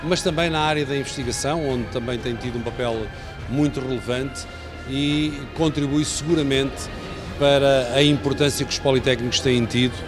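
A middle-aged man with a European Portuguese accent answers formally in a mid-pitched voice. Music plays clearly behind him. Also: fairly even intonation, normal speed. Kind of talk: formal interview remarks.

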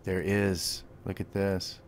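A man's voice: sits low, level tone, takes it slowly, a little hiss or hum. A man giving a gameplay tutorial, mixing clipped spoken commands with casual explanation. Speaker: tutorial host.

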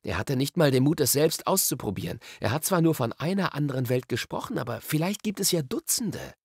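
An eager, bossy schoolboy, acted out by a male storybook reader, explains his ideas with impatient excitement. The voice is high and very lively, with a slightly gravelly edge. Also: a little fast, dry recording, clean studio sound, full character voice. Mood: eager, explaining. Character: eager, curious, bossy young schoolboy explorer.

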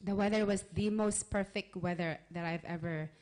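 A woman's voice: medium pitch, steady tone, moderate pace, a little mellow. A woman speaking earnestly into a handheld mic, sharing a personal testimony before a church congregation. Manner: earnest, conversational, with restarts. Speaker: church member giving a testimony. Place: church sanctuary, in front of a congregation.

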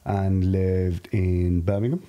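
Mellow, low-pitched man, candid podcast guest. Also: fairly even intonation, slow. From Lahore, Pakistan. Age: early thirties. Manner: candid, informal, reflective. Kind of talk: casual personal storytelling. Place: podcast studio. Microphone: studio podcast microphone.

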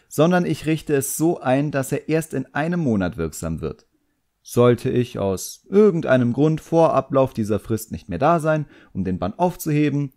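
A man's voice: medium pitch, darkish tone, voice pushed up, lightly acted. A man voicing a clever, defiant boy, a magician's apprentice, in an audiobook. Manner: hesitant, drawn-out threats.

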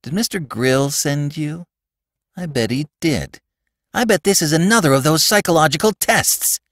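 A man's voice: fairly low pitch, sing-song, measured pace, no echo, studio quality. A man voicing a stubborn, irritable young schoolboy in a storybook reading, defiant and petulant. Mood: irritated, defiant.

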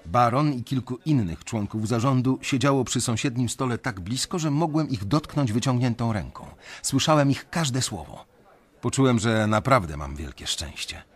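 An actor playing an uneasy young banker who narrates a radio drama in a measured, reflective way. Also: male voice, mid-range voice, animated, slightly rough. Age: young adult.

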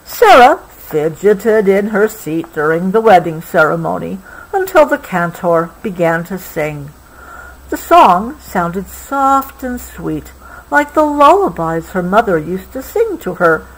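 A woman reading a picture book aloud to a child, patiently pausing to explain things along the way. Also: mid-range voice, sing-song, low-quality recording. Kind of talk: expressive storybook read-aloud with explanatory asides.

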